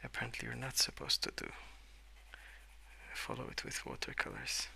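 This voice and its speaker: A man speaking in a soft, hushed ASMR ramble, drifting between murmur and whisper in a hesitant, unhurried way. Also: medium pitch, wildly swooping pitch, creaky, poor audio. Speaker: host of an ASMR colouring channel. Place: indoors at a wooden desk.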